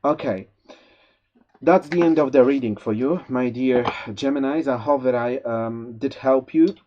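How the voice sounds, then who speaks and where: A man with a Polish accent reading a tarot forecast, giving gentle spiritual advice with many fillers and restarts. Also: mid-range voice, a little slow. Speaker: tarot reader. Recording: slightly dull lo-fi sound.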